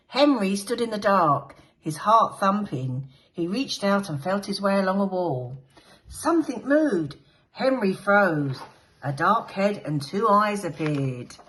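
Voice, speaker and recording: A woman's voice: on the high side, fairly bright tone. A woman teacher reading a picture book aloud to young children, telling the story expressively and dramatically. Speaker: infant school teacher.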